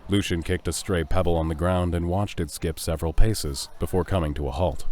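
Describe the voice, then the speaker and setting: Deep voiced guy narrating an audio drama, scripted story reading. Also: fairly even intonation, brisk, darkish tone.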